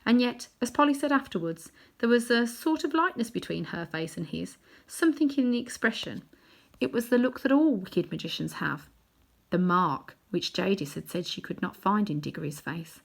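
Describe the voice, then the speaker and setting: A woman reading a children's novel aloud in chapters, adding gentle asides to her listeners. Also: mid-range voice, expressive, moderate pace, gravelly. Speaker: storybook reader.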